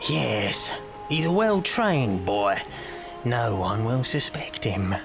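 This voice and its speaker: An actor playing a wily old leader of child pickpockets in an audio drama, coaxing in drawn-out phrases with faint menace. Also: male voice, mid-range voice, very animated, music in the background. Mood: dry, wary.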